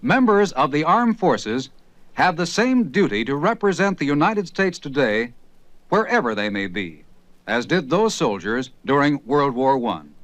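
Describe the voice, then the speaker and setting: A solemn male announcer reads a formal public service message, his voice fairly high and very lively in pitch. The sound is dry and close. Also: dry recording. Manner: solemn, declaiming.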